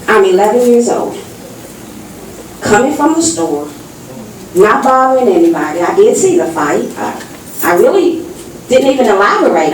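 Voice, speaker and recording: A woman in her fifties telling a personal story at a public meeting, in deliberate, dramatic phrases broken by pauses. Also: fairly high pitch, a little hiss or hum. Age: 50s. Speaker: audience member giving testimony. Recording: distant mic in very echoey hall.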